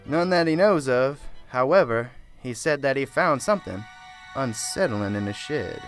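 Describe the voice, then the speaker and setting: A man playing a soft-spoken, pious small-town reverend who rambles earnestly, in an amateur radio drama, with faint music behind. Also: mid-range voice, lively intonation, normal speed, lightly acted. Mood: solemn. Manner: gentle, pious and wordy.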